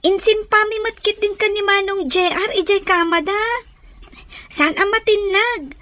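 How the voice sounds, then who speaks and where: A woman's voice: very high voice, measured pace, bright, forceful tone, no echo, exaggerated voice. A woman playing a caring, respectful young grandchild in an Ilocano radio drama, with an Ilocano accent. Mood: dutiful.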